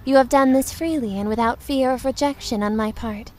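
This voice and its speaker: A woman playing a mysterious thirteen-year-old telepath girl who speaks like a wise old sage, solemn and measured, in an audio drama. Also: high voice, a little mellow, slight background noise, lightly acted. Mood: knowing, calm. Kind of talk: scripted audio drama acting.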